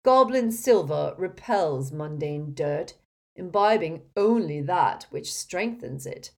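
A woman's voice: low-pitched, animated, slow, creaky, darkish tone, full character voice. A woman voicing a pompous, indignant old male wizard in a talking portrait, in an audiobook. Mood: scornful, weary. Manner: haughty, supercilious, scornful. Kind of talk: audiobook character acting.

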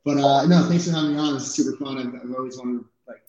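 A man's voice: medium pitch, fairly even intonation, fast talker, very echoey, muffled recording, a crowd in the background. A man in his twenties from New Jersey, a podcast guest talking casually and laughing often, with lots of fillers. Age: 20s. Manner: laid-back, laughs often, many fillers. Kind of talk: casual chat.

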